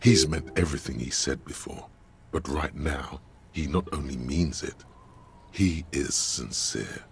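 Grave, brooding game narrator: extremely low, creaky, measured, literary delivery over ambient noise. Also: male voice. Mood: grave, watchful. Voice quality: very deep, gravelly. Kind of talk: scripted second-person game narration.

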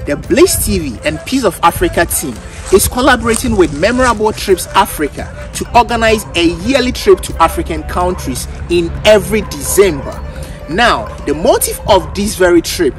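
Upbeat high-voiced man hosting a travel vlog. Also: animated, moderate pace. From Ghana. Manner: cheerful, laughs often, promo-style outro. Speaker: travel show host. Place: kitchens and city streets, in and out of doors. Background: music bed.

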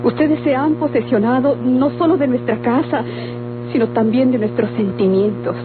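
An actress playing an anguished, defiant daughter in a Mexican radio drama, speaking with melodramatic pleading in a Mexican accent. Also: female voice, a little high, normal speed, background noise, close to an everyday voice. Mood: weary, firm. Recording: tinny, humming old radio recording with music.

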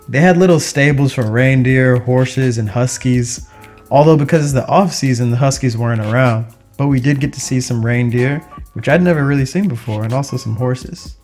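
A young man narrates his travels in a casual, upbeat voice of medium pitch. He talks conversationally, like a vlogger sharing a trip, with music playing behind him. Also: a little mellow. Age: young adult.

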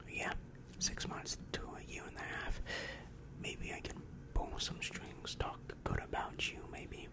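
A young man whispering an ASMR roleplay as a helpful, polite demon counselor, soft, hesitant and reassuring, close on the microphone. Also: a little slow. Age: young adult. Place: small dim room indoors. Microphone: large microphone right in front of the mouth.

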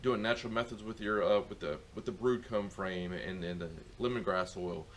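A man's voice: on the low side, normal speed, a bit bright, slight background noise. Rambling middle-aged man outdoors. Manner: rambling, many fillers and restarts. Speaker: beekeeper showing a how-to. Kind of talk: casual how-to demonstration. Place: outdoor farmyard.

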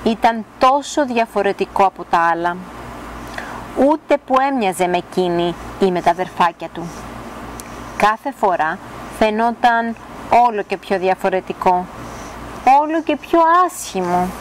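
Young woman reading a kids fairy tale out loud, expressive, outside in a garden w some noise. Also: medium pitch, rough recording. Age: young adult. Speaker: storyteller reading a children's book. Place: outdoors in a garden. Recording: clear outdoor background noise.

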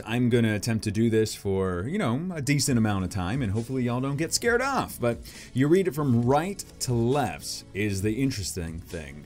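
Male YouTube host, casual and jokey, very lively swooping delivery, music underneath. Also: medium pitch. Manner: animated, joking, self-deprecating. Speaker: YouTube host reading manga aloud. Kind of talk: casual vlog commentary.

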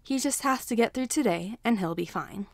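A woman's voice: fairly high pitch, animated, moderate pace, creaky, dry recording, studio quality. A woman narrating a scripted story as a fan fiction reader.

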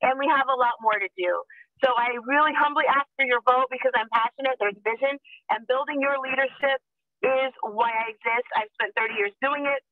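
A woman in her forties makes an impassioned campaign pitch over a video call. Her voice comes through a thin, phone-like line with no room sound. She speaks earnestly, pressing each point home. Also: a little fast, no room sound. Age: 40s. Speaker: political candidate. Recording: tinny phone-like call audio.

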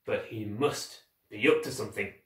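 A man's voice: lowish voice, moderate pace, echoey room, distant mic, voice pushed down, natural voice. A man voicing an anxious, polite and clever young girl in a storybook, speaking carefully and hesitantly. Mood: doubtful.

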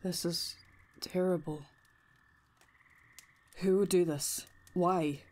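A woman playing a concerned, soft-hearted adventurer in an audio drama, with an earnest, questioning manner. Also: on the low side, fairly even intonation, takes it slowly. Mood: appalled. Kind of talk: audio roleplay drama acting.

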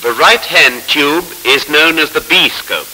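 Bright, forceful 1940s training film narrator, man. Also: fairly high pitch. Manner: formal, measured, explanatory. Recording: scratchy hissy 1940s film soundtrack.

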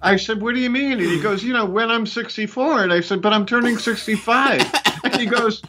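A man's voice: high voice, fairly quick, noisy background. A man in his sixties, a musician, chatting and telling stories on a headset over a video call from a room at home. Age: 60s. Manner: animated, chatty storyteller, laughs often. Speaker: musician and co-host. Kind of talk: casual conversation on a video call.

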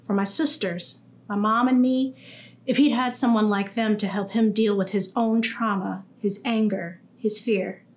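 A woman's voice: mid-range voice, steady tone, a little slow. A young woman reading a first-person testimonial monologue aloud. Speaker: reader of a survivor's story. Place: indoors against a dark backdrop.

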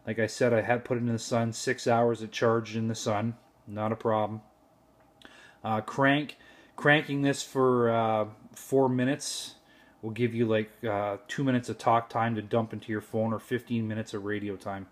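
A man's voice: medium pitch, level tone, moderate pace. A man giving an informal, slightly rambling hands-on product review, recorded indoors on a handheld phone. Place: indoor room at home. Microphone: handheld cell phone.

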